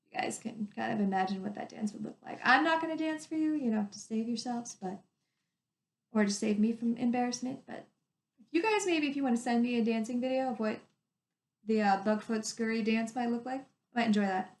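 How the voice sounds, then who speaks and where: A woman's voice: a little high, fairly quick, echoey room. A woman reading a storybook aloud as a teacher, in a narrating style with casual wording.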